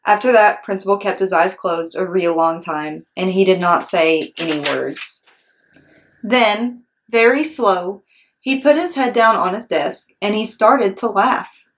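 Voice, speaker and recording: A woman's voice: mid-range voice, unhurried. Woman reading a children's story expressively. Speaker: read-aloud storyteller.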